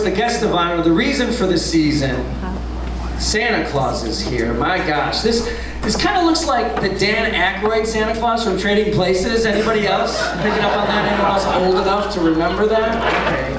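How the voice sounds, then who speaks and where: A man's voice: sits high, lively intonation, moderate pace. A man performing stand-up comedy in a conversational, playful way, talking directly to his audience. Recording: very echoey, noisy live venue, distant mic. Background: venue noise.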